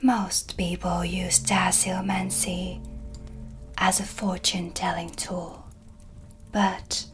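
A woman voicing a sly, composed dark witch in a soft, breathy, deliberate ASMR roleplay, close to the mic. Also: fairly low pitch. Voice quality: breathy, whispery. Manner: slow, deliberate, theatrical. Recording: music behind the voice. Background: ambient music.

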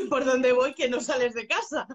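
A woman's voice: high-pitched, measured pace, husky. A bubbly, effusive woman with an accent from Spain, chatting casually as host of an interview from a room at home. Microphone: phone held close, live video call.

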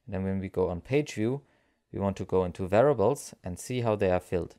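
A man narrating a software tutorial as its presenter, explaining things step by step. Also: sits low, fairly quick.

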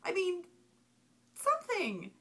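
A woman's voice: very high-pitched, slow. A young woman talking casually to her webcam at home, chatty and emphatic as she gives a movie review. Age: young adult. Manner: chatty, animated hand gestures, emphatic outbursts. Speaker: vlogger. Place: small home room with shelves. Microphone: webcam at desk.

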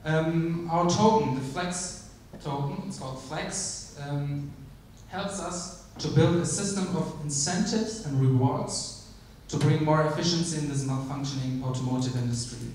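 A man giving a startup pitch on stage, measured, with frequent ums and restarts. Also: a little mellow, a little hiss or hum. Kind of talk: conference pitch. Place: large theater stage. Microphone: handheld mic, filmed from the audience. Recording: echoey hall from far off.

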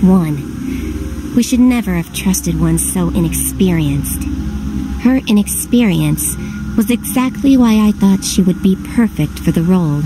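A woman voicing a haughty, calculating fairy plotter in a video game, speaking in a formal, measured, disdainful way. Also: fairly low pitch, animated, poor audio. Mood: cold, scheming. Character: scheming female fairy elder, cold, haughty, calculating. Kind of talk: English video game voice acting. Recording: music behind the voice. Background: game music.

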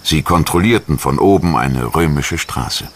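A man reading scripted documentary narration in German in a measured, factual manner. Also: very deep voice, animated. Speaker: documentary narrator and voice-over translator.

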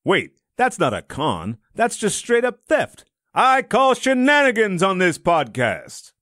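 A man with a fairly high, animated voice in a dry, close recording plays the questioning sidekick. He is excitable and incredulous, delivering scripted comic interjections. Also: a little slow, no echo.

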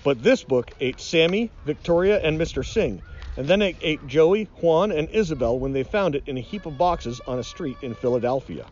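A man's voice: on the high side, expressive, normal speed, darkish tone, muffled recording, slight background noise. A middle-aged man reading a children's storybook aloud in a dramatic, mock-ominous way. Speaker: nature educator reading aloud.

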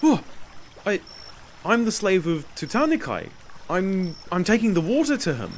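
Man putting on a shrill, stammering frightened slave. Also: huge pitch swings, measured pace, fairly bright tone, a little hiss or hum, exaggerated voice. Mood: startled, nervous. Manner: stammering, flustered.

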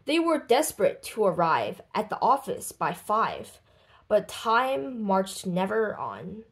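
A woman reading a children's book aloud expressively, with deliberate, dramatic phrasing and lifted voices for dialogue. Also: mid-range voice, sing-song. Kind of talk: expressive storybook read-aloud.

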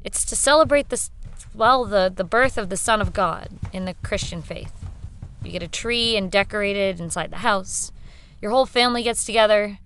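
A woman's voice: medium pitch, moderate pace, a bit bright, smooth, close to an everyday voice. An actress playing a weary, plain-spoken, impatient young woman in a naturalistic audio drama. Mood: subdued, explaining.

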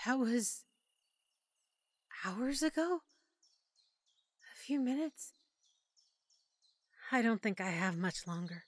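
A woman's voice: mid-range voice, no echo, close to an everyday voice. A woman voicing a young woman who is turning into a zombie, reading out her final letter in a strained, fearful way. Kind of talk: audiobook character monologue.

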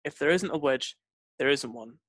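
A man's voice: mid-range voice, moderate pace, fairly bright tone, dry recording. A man narrating a scripted tutorial as an instructor, explaining step by step into a close mic. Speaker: trading instructor. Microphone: close voice-over mic, dry.

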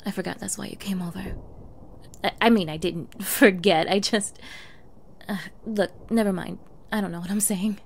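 A woman's voice: mid-range voice, fairly even intonation, normal speed, natural voice. A woman playing a flustered, clingy young yandere in an ASMR girlfriend roleplay, with a coy, stammering manner and feigned ditzy innocence. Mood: coy, bashful. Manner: stammering, coy, eager, breathy asides. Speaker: roleplay voice performer. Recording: faint background noise.

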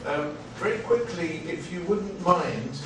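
An older man hosting a seminar with an informal, rambling, halting and jokey introduction. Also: fairly high pitch, animated. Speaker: seminar host introducing a speaker. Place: small seminar room. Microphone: far camera microphone. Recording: distant mic, echoey noisy room.

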